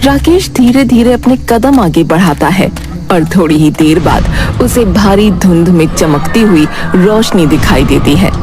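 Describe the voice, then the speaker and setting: A woman giving scripted, dramatic storytelling narration for an animated moral story. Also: fairly low pitch. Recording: loud music behind the voice. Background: music.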